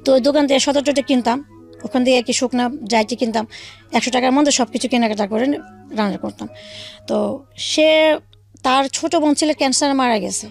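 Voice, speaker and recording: A woman's voice: sits high, a little fast, creaky, long pauses, soft background music. A woman with a Bangladeshi accent telling her own life story as an interview guest, in colloquial speech with quoted dialogue.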